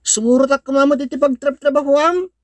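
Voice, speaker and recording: A woman's voice: high-pitched, fairly quick, dry recording, faint music behind, close to an everyday voice. A woman with an Ilocano accent playing an eager, talkative young child in a radio-style drama. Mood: eager, innocent.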